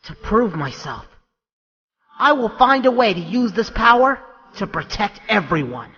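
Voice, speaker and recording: A performer playing an earnest, hesitant young male soldier in an audio drama, speaking haltingly. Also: medium pitch, sing-song, pushed and bright, breathy edge, highs cut off, exaggerated voice. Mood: frustrated, determined. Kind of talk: fan audio drama acting.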